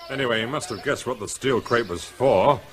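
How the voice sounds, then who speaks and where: An actor playing a calm police officer in charge, speaking with dry, matter-of-fact authority. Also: male voice, medium pitch, a little fast, a crowd in the background, lightly acted.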